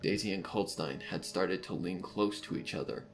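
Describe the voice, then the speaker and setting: A man reading scripted narration for a dark fan fiction mystery story. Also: low voice, normal speed. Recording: music under the voice.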